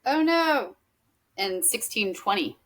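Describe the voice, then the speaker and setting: A woman's voice: mid-range voice, sing-song, takes it slowly, a bit bright. A woman co-hosting a podcast, chatting casually and jumping between topics. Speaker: podcast co-host; teacher. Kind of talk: casual chat.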